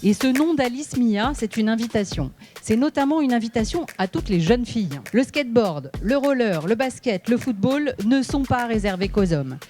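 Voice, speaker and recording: A woman making a clear-cut explanatory statement in an interview. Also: on the high side, animated, moderate pace, few pauses, dark tone. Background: background music.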